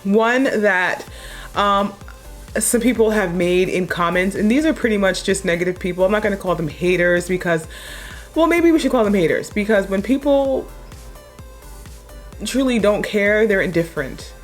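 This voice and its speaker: A young woman with a deep voice chatting casually as a makeup vlogger, low-key and chill but blunt when she makes a point. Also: lively intonation, moderate pace, faint music behind. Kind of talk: casual chat while doing a makeup tutorial.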